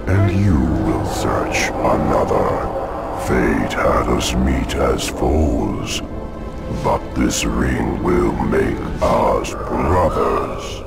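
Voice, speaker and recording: An abyssally deep, crackling monster voice under loud music. It is an ancient, all-knowing hive mind from a video game, speaking very slowly in grim, commanding pronouncements. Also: male voice, lively intonation, heavily acted. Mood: solemn, persuasive. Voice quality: rumbling, gravelly. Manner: solemn, oracular, measured verse-like phrasing. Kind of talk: slow, theatrical game cutscene monologue.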